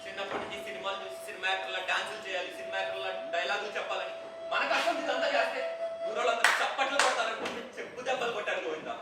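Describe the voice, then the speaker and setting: An actor on stage playing a frustrated young village man in an amateur drama troupe, talking in rapid, emphatic complaints. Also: sits high, steady tone, loud music behind the voice, lightly acted. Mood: aggrieved. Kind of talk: live stage acting. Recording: echoey hall from far off, audience noise.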